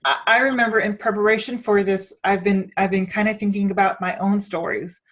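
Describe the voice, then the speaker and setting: A woman's voice: medium pitch, fairly even intonation, fairly quick. A woman from Denmark hosting a conversational interview, thinking aloud and often searching for her words as she rambles toward a point. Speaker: podcast host and interviewer.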